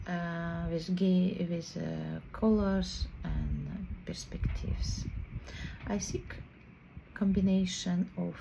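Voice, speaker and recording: Slow, gravelly Russian-accented woman. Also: fairly low pitch. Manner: halting, searching for words, frequent hesitations. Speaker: artist. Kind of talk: explaining her artwork to camera. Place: small room indoors. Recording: dull lo-fi sound with steady background noise.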